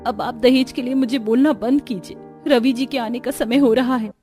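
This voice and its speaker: A woman voicing a meek, dutiful, sorrowful young daughter-in-law in a cartoon, soft-spoken and earnest. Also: a little high, fairly even intonation, moderate pace, soft background music, natural voice. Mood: pleading.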